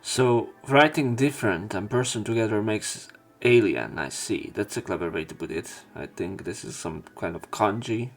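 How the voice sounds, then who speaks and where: A man reading visual novel lines aloud as let's play narration, halting at times and correcting himself. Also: on the low side. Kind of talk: read-aloud narration and commentary of game dialogue. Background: game music.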